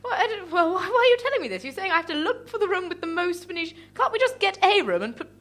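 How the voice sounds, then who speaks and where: A woman's voice: very high-pitched, very animated, fast talker, fairly bright tone, heavily acted. A woman playing an eager, faintly exasperated sculptor in an improvised radio comedy, smitten and stammering as she goes. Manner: stammering, hesitant delivery. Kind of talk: improvised comic radio play before an audience.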